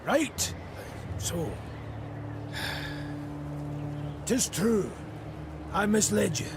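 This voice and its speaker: A man playing an earthy, blustering dwarf clansman in a video game, with a Scottish accent and a gravelly voice. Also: sits high, animated, a little slow, very noisy, full character voice. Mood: sheepish. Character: crude, evasive dwarf clansman confessing uneasily. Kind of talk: scripted video game voice acting.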